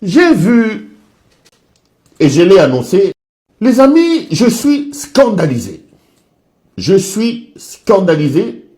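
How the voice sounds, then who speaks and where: A man's voice: high-pitched, huge pitch swings, slow, long pauses, dark tone. A man giving dramatic commentary, theatrical and repeating lines for effect.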